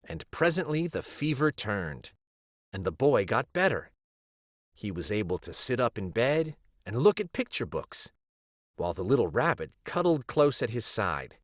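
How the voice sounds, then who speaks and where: A man narrates a children's book with lively, varied intonation. His phrasing is measured and dramatic, in a mid-pitched voice, recorded close and dry. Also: dry recording. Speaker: storyteller reading a children's book aloud. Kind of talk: expressive scripted storybook narration.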